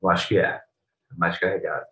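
A man's voice: low voice, fairly even intonation, a little fast, bright, forceful tone, echoey room, distant mic, poor audio. A man from Cuiabá, Brazil, with a Cuiabá accent, a prosecutor answering interview questions with frequent restarts and fillers. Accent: Cuiabá Portuguese. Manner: hesitant, many restarts and fillers.

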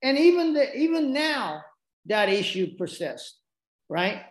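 A man's voice: extremely high pitch, big pitch swings, slow pace, echoey room. A man whose first language is Japanese, speaking English with a Japanese accent and telling stories in a casual, rambling way with lots of restarts. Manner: rambling, frequent restarts and asides. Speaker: podcast guest. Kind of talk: casual storytelling.

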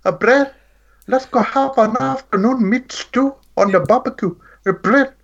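A cheeky, exaggerated South African bloke, as a man puts on the voice for laughs. The voice sits noticeably higher than his own and keeps asking questions. The sound is a little dull and lo-fi. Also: unhurried, creaky, exaggerated voice. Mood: jokey.

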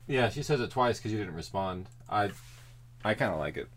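A man's voice: fairly low pitch, expressive, fairly quick, background noise. A man talking casually with colleagues during a studio discussion.

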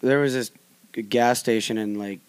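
A man's voice: mid-range voice, fairly even intonation, moderate pace, clear, resonant tone, no echo. A young man, telling rambling, profane anecdotes in casual podcast chat. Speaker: podcast co-host.

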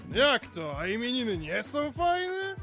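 An actor playing a middle-aged father in an audio drama, hearty, folksy and gruffly mocking, full of exclamations. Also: very high voice, wildly swooping pitch, a little slow, fairly bright tone, soft background music, heavily acted. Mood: jovial. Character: hearty, gruffly teasing father at a family party. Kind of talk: Polish audio drama dialogue.

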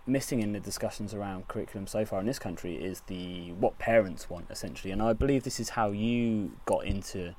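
A man's voice: fairly low pitch, a little fast, few pauses, darkish tone, a little hiss or hum, poor audio. A man hosting a podcast, introducing and interviewing in a hesitant way, rephrasing as he goes.

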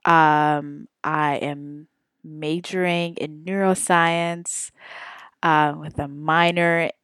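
A woman's voice: sits low, steady tone, very slow, a bit bright, clean tone, no echo, studio quality. A woman in her twenties hosting her own podcast, rambling casually with lots of ums and self-corrections. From Saudi Arabia. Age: 20s. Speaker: podcast host. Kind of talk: casual solo podcast chat.

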